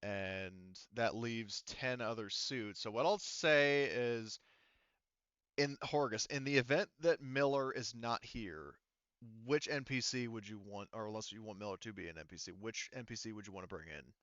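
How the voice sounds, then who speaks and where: A man's voice: lowish voice, normal speed, fairly bright tone, clean tone, no room sound, dull audio. A man running an online tabletop game as game master, talking casually.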